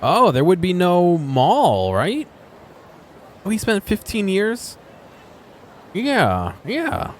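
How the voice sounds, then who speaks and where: A man giving casual, off-the-cuff video game commentary, thinking aloud in halting fragments and questions to himself. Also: sits high, huge pitch swings, slight background noise.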